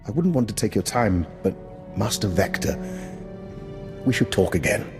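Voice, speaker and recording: An actor playing an urbane, calculating old doctor and veteran spy in a video game, with a refined, courteous, understated manner. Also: male voice, lowish voice, big pitch swings, moderate pace, in a large hall, over music. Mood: polite, genial. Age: older. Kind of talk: video game dialogue acting.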